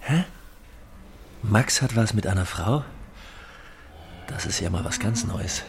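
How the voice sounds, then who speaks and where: Ein Schauspieler spielt in einem Hörspiel einen großspurigen, durchtriebenen Geschäftsmann, der überschwänglich und werbend redet. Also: male voice, lowish voice, slow pace, slight background noise. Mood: scornful. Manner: bombastic, salesy, excitable. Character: brash, scheming businessman, excitable grown man. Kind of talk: German radio drama acting.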